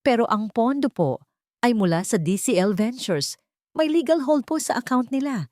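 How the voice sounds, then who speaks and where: A jittery office clerk from finance, voiced by a woman narrator, polite and trembling before the boss. The pitch lifts above the storyteller's usual talk in this dramatic tale. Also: animated, normal speed, a little mellow, dry recording, studio quality, close to an everyday voice. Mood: nervous. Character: nervous, deferential finance department employee.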